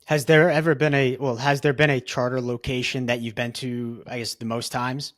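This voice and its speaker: A man's voice: mid-range voice, flat delivery. Easygoing male interviewer. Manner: easygoing, slightly halting questions. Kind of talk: casual interview questions.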